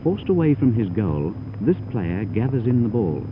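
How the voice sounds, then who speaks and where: A man's voice: lowish voice, sing-song, moderate pace, dull audio. A man giving formal, instructive scripted narration for a 1930s training film, on a noisy old soundtrack. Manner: formal, didactic.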